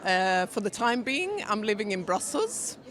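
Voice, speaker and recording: A woman's voice: mid-range voice, sing-song, a little slow, a bit bright. A woman from Sweden answering a street interview thoughtfully, tagging remarks with "huh?".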